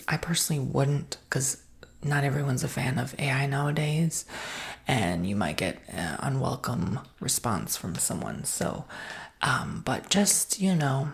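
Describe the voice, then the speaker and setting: A woman playing an easygoing, reassuring salesperson in an ASMR roleplay, soft-spoken and partly whispering in an informal, rambling way. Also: a bass voice, vocal fry, exaggerated voice. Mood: matter-of-fact, helpful. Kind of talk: ASMR roleplay, improvised customer-service chat.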